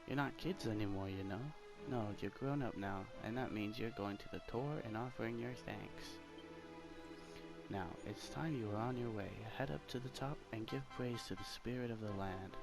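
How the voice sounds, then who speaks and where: A man reading out the game dialogue of a proud old village mayor, a doting grandfather who gives gentle instructions. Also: fairly low pitch, moderate pace, gravelly. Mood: proud, encouraging. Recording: loud game music behind the voice.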